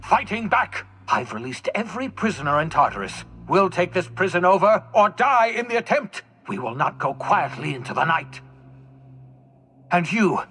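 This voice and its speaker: An older man acting as a bitter, defiant old mad scientist in a video game, with a husky voice and a theatrical, accusatory delivery. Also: a little high, a bit bright, a little hiss or hum. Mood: defiant, rousing.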